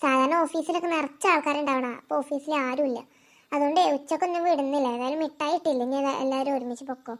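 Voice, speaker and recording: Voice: high-pitched, fairly even intonation, quick pace, fairly bright tone. A performer voicing chatty children and aunties in a comic family cartoon, in colloquial dialogue.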